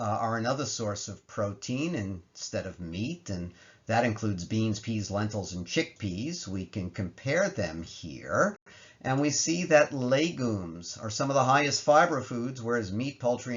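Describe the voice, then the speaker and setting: A man's voice: medium pitch, very animated, measured pace, fairly bright tone. A middle-aged man, a medical professor, narrating a slide lecture in plain language with emphatic phrasing and rhetorical questions, on a slightly dull recording. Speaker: medical professor giving a presentation.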